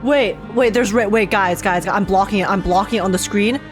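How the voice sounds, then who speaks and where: A woman's voice: mid-range voice, brisk, music in the background. A woman streamer giving casual, emotional live commentary on a game in loose fannish slang.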